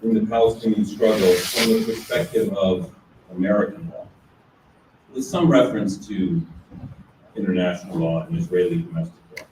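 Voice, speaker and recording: A middle-aged man with a booming voice giving a measured, lightly ironic academic law lecture. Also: expressive. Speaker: law professor giving a talk. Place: university lecture room. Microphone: distant room recording. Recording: echoey lecture room from far off, faint hiss.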